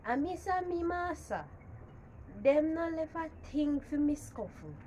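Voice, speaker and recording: A woman acts out a male trickster spider from a bedtime folk tale, a hungry scavenger full of self-pity. He exclaims plaintively in Jamaican patois, unhurried, with some noise behind the voice. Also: sits high, lively intonation, warm tone, pitched above the performer's own voice. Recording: background noise.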